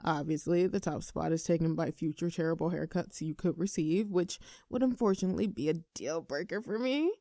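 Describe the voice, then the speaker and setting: A woman's voice: deep voice, a little fast, hardly any pauses, dark tone, no echo, studio quality. A woman acting a nervous, earnest best friend in a roleplay audio, addressing the listener like a mock-formal presenter. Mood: mock-formal, playful. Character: nervous, earnest young female best friend confessing a crush.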